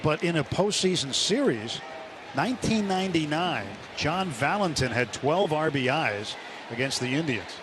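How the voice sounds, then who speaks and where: A man's voice: a little high, lively intonation, moderate pace. Laid-back male color commentator, noisy ballpark. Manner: conversational, explains with fillers. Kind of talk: radio baseball color commentary. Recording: radio broadcast with loud ballpark noise.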